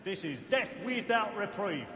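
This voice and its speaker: An actor playing a scheming villain in an 18th-century opera, with a theatrical, declamatory delivery. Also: male voice, sits high, sing-song, measured pace, reverberant, noisy background.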